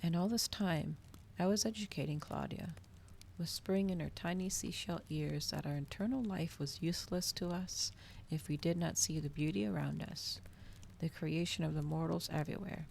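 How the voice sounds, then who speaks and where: A soft-spoken woman reading a novel aloud in an ASMR style, at times close to a whisper. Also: deep voice, moderate pace, vocal fry, slight background noise.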